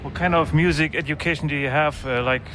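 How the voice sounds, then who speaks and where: A man's voice: on the high side, fairly even intonation, quick pace, a crowd in the background. A man interviewing a band singer, asking short, simple questions in non-native English. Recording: music playing behind.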